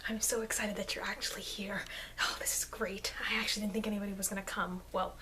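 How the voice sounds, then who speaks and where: Through a faintly noisy amateur mic comes a woman acting as an eager young-seeming vampire girl in an ASMR roleplay. She is friendly and chatty, with a mid-pitched voice. She rambles awkwardly and hesitates as she goes. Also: fairly bright tone, close to an everyday voice. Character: friendly, flustered, eager vampire girl, thousands of years old. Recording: noisy amateur microphone, background hiss.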